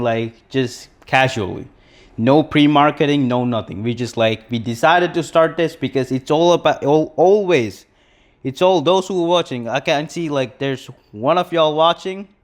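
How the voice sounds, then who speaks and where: A man's voice: fairly high pitch, unhurried. A young man from Sri Lanka co-hosting a live podcast in a casual, rambling way, full of restarts and fillers. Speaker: podcast co-host.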